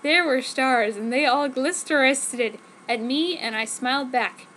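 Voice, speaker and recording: A high-pitched woman reads amateur fiction aloud in a mock-serious, theatrical way. Her delivery is exaggerated and dramatic. There is some noise behind her on the recording. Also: measured pace, a bit bright, low-quality recording. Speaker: amateur fanfiction reader. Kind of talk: exaggerated dramatic story reading. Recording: noticeable background noise.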